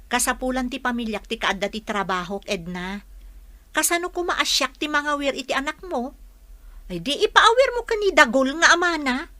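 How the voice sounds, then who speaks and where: A woman's voice: high-pitched, expressive, normal speed, bright, forceful tone, no echo. A woman playing a practical, reluctant friend who questions and protests, in a Filipino radio drama. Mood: protesting.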